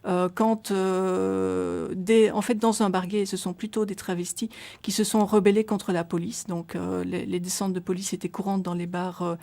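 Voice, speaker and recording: A woman's voice: mid-range voice, little pitch movement, normal speed, gravelly, mellow. A middle-aged woman, guest on a radio interview, explaining at length with many hesitations and restarts. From Belgium. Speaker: association volunteer guest.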